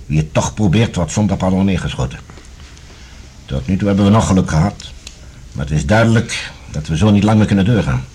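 An actor playing a grave, worried official of a troubled future in a Dutch radio drama, with a very husky voice and deliberate delivery. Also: male voice, sits low, lively intonation, dark tone. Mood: grim.

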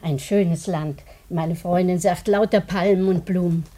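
An actress playing a talkative, devoted and sentimental housekeeper in a German radio drama, chatty and emotive. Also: female voice, lowish voice, fairly even intonation, measured pace, warm tone.

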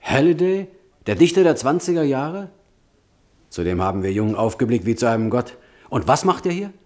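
A male actor plays a young screenwriter in a radio drama. His medium-pitched voice moves in lively swings, polite and eager with a streak of irony. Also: brisk, close to an everyday voice. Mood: astonished.

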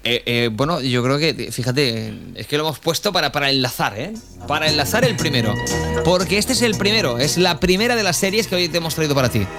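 A man's voice: fairly high pitch, expressive, brisk, over loud music. A man with an accent from Spain hosting a casual radio chat, bantering informally and often checking for agreement. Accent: Spanish from Spain. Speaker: radio host.

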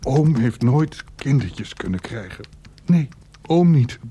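An actor in a Dutch radio play voicing a creepy older uncle who stammers, coaxes and repeats himself. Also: male voice, medium pitch, expressive, slow pace, dark tone, slight background noise. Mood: wheedling, flustered. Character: creepy, wheedling uncle, a childless shopkeeper.

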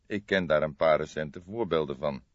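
A man voicing a kindly but insistent police detective in an audiobook, questioning in a measured way. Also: very deep voice, no echo, dull audio. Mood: calmly probing.

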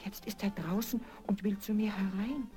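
A woman's voice: mid-range voice, steady tone, normal speed, dark tone, voice pushed up, lightly acted. A woman voicing a plaintive, childlike young princess in a 1970s children's audio play, easily upset. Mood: anxious pleading. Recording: vinyl record transfer with music.

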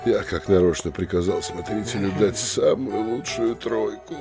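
An actor playing a blustering, outraged provincial town mayor in a theatrical classic comedy audio drama, exclaiming incredulously. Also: male voice, lowish voice, normal speed, over music, natural voice. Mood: crushed, despairing.

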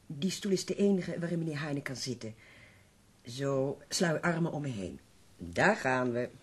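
A bossy, practical carer in a radio play. Her voice is very husky and lowish, swinging widely in pitch as she briskly orders and scolds. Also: animated. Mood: brisk, impatient. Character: brisk, no-nonsense female carer, bossy but dutiful. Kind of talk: radio drama acting.

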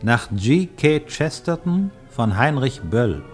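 A man's voice: mid-range voice, sing-song, slow. A man announcing radio play titles in a scripted delivery. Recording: loud plucked guitar music behind the voice.

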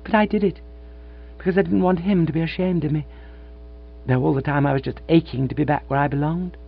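A man's voice: a little high, fairly even intonation, a little fast, creaky, husky, voice pushed up. Man doing an emotional middle-aged actress. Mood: pleading. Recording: dull lo-fi with mains hum.

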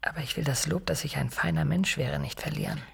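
An actor playing a vain, carefree young adventurer in a literary radio drama, polite on the surface with cheeky muttered asides. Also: male voice, fairly high pitch, fast talker, creaky, a bit bright, slightly rough, full character voice. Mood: impatient aside.

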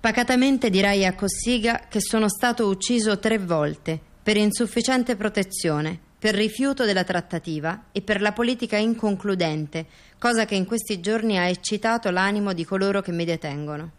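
A woman acting as a sober intellectual, reciting testimony and letters in a formal, deliberate documentary theatre style. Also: mid-range voice, close to an everyday voice. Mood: solemn. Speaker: stage actress reading testimony and letters.

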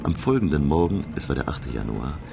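An actor playing a professor who recounts his sea adventure in literary, measured radio drama narration. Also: extremely low pitch, sing-song, gravelly, exaggerated voice. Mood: uneasy, dramatic. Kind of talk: scripted audio drama narration in past tense. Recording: loud music behind the voice.

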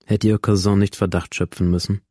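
An actor playing a formal, analytical doctor who insistently questions a troubled patient in a radio drama. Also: male voice, on the low side, little pitch movement, a little fast, dark tone, dry recording, studio quality, lightly acted. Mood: sceptical, probing.

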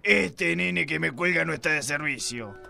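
A man acting a crude, bigoted, corrupt male police commissioner in an amateur radio play, barking insults in a gravelly voice. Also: fairly high pitch, expressive, normal speed, pushed and bright, faint music behind, full character voice. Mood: gruff rant. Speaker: radio drama actor.

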